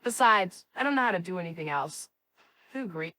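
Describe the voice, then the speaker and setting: A woman voicing a spirited, cocky and excitable cartoon kid with an exclamatory, dramatic delivery. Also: lowish voice, fairly quick, dry recording. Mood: dramatic, scheming. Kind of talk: animated series voice acting.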